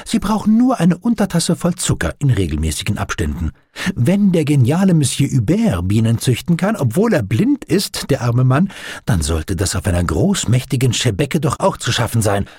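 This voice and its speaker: A man voicing an eccentric, excitable naval doctor in an audiobook, with an eager, enthusiastic manner. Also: medium pitch, moderate pace, slightly rough, dry recording, studio quality, pitched above the performer's own voice, full character voice. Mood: blithe, excited.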